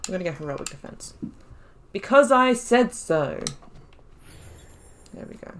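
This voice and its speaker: A woman giving casual commentary while playing a game, thinking aloud and breaking into excited exclamations. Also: lowish voice, a little slow, a little hiss or hum. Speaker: let's play host.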